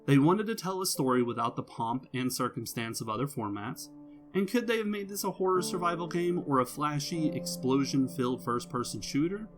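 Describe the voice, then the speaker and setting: A man reads a scripted video game review as the channel's host, measured and phrase by phrase, with emphatic pauses. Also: a little high, expressive. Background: music under the voice.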